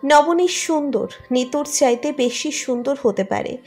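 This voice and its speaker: A woman's voice: sits high, measured pace, a little mellow. A woman reading a scripted romantic story as its narrator. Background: music under the narration.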